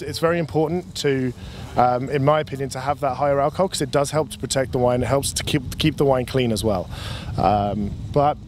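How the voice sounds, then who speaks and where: A young man at an outdoor table at a winery, explaining wine in a relaxed, chatty way with many restarts, into a handheld mic. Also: medium pitch, slight background noise. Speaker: winery representative. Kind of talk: casual explanatory talk. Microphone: handheld mic held by the interviewer.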